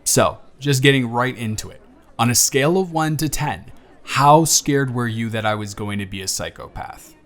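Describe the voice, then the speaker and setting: A man's voice: medium pitch, animated, moderate pace, a bit bright. A man playing a charming, teasing young man on a blind date, chatty and playful in a romantic audio roleplay. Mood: playful, bantering.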